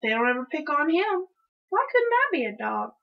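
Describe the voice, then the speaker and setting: A woman's voice: sits high, sing-song, moderate pace, creaky, muffled recording, voice pushed up, full character voice. A woman voicing a spirited, stubborn young country girl who grumbles and complains in a children's storybook. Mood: grumbling. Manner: folksy, dramatic complaining. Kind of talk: children's storybook read-aloud.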